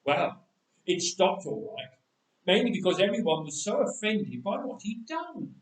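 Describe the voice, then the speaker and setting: Man as young villager back from the dead, chatty, wry understatement, lively intonation, distant mic, echoing church. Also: high-pitched, measured pace. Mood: indignant. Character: young man of Bible times raised from death, chatty, wry. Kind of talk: dramatic first-person storytelling monologue. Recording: roomy church echo, distant microphone.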